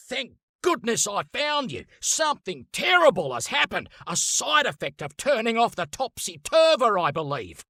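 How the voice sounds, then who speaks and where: A man playing a fussy, excitable and pompous explorer and wildlife expert in an animated video game, speaking theatrically with whimsical made-up words. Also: very high voice, no echo, clean studio sound, exaggerated voice. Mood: intrigued, self-important. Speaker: voice actor.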